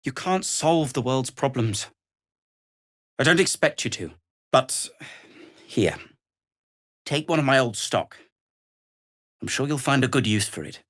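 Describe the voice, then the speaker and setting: Fussy dry gnome tinkerer for a video game, kinda formal and worried, pitch moves around a lot, super close dry recording. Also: male voice, a bit bright, no room sound. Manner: dry, wordy, formal, hesitant asides. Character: male deep gnome tinkerer, earnest, dry, worried curmudgeon. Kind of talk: video game character dialogue.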